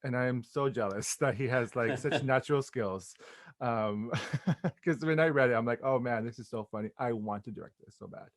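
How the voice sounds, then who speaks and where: A man's voice: mid-range voice, a little fast, no room sound, clean studio sound. A man chatting casually and effusively in an interview as a playwright and director, with informal slangy phrasing.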